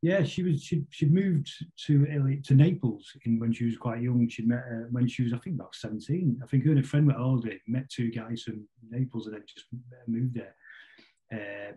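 Mellow, dark-voiced Mancunian man, rambling chattily. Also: medium pitch, poor audio. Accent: Manchester. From Manchester, England. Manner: rambling, halting, chatty with tag questions. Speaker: interview guest. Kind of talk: casual conversational football chat.

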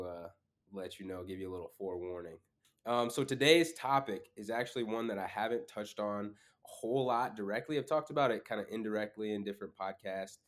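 A man with a lowish voice hosts his own fitness podcast while stuffed up and a little sniffly. He talks in an easygoing, conversational way, filling pauses with ums and uhs. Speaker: podcast host. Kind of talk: casual solo podcast talk.

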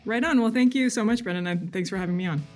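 A woman speaking as a journalist guest in a casual interview, thoughtful and halting, often breaking off into asides. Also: mid-range voice, faint music behind.

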